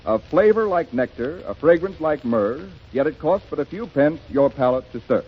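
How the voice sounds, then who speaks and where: A man hosting a 1930s radio variety show, relaxed and chatty, reciting a verse. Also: on the high side, moderate pace, slightly rough, soft background music. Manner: relaxed, chatty, chuckling delivery. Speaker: host. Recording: dull 1930s radio broadcast recording.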